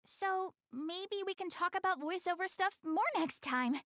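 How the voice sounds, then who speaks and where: A woman's voice: very high-pitched, a little slow, a bit bright, no room sound, studio quality. A woman voicing a shy, prideful young goddess girl in an English-dubbed anime game, flustered and earnest but a little haughty.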